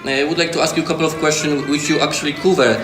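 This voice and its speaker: A man's voice: a little high, steady tone, racing. A man with a Polish accent giving an informal, hesitant welcome as host, in non-native English. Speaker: host introducing an interview. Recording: echoey room, clear music underneath. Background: instrumental music.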